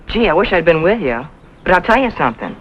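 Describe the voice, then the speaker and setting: A young male actor playing an eager, tender-hearted country boy in an earnest, excitable, folksy way in a 1950s television drama. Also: high voice, quick pace. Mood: eager, excited. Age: child. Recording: dull, noisy 1950s TV soundtrack.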